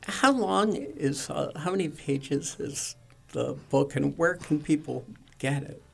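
Male interviewer, gravelly, slow and halting, plenty of fillers. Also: a little high. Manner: halting, many fillers and restarts. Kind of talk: radio interview.

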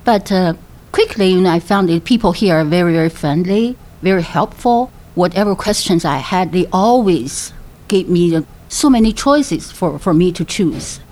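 A woman in her fifties from China, speaking English with a Chinese accent as a second language, telling her story in a halting way with many fillers. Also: lowish voice, animated, a little mellow. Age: 50s. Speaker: interviewee, mother. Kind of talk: personal storytelling.

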